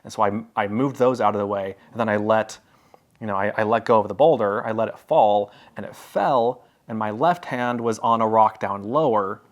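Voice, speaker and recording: A man with a somewhat low voice recounts a story to his camera in an easygoing vlog. He talks loosely and rambles, trips over his own words and leans on "like" and "you know". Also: moderate pace. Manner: rambling, filler-heavy storytelling with many restarts. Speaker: travel vlogger. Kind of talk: casual chat to camera.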